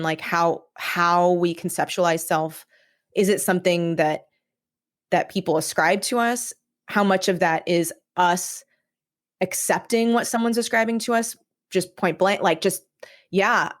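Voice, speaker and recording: A woman guest on a podcast, talking casually and reflectively about her writing, thinking aloud in informal speech. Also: lowish voice. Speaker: podcast guest, audio drama writer.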